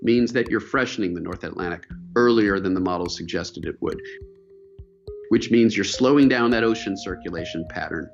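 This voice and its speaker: Low-voiced man in a lo-fi clip. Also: normal speed, creaky. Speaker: climate scientist in a documentary clip. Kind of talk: explanatory interview. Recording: dull lo-fi video audio with faint music.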